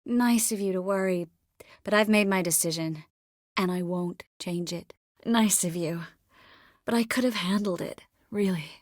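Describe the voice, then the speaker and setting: A woman playing a poised, wry, warm-hearted sorceress in a video game, composed and lightly teasing. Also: mid-range voice, a little slow, dry recording, studio quality, lightly acted. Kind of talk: scripted game character dialogue.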